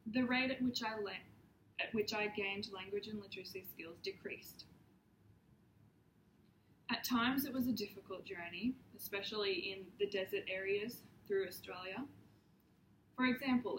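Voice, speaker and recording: A young woman reading a prepared class presentation in a measured, earnest way, with occasional ums and restarts. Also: medium pitch, fairly bright tone. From Papua New Guinea. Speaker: student presenter. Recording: echoey room, faint background hiss.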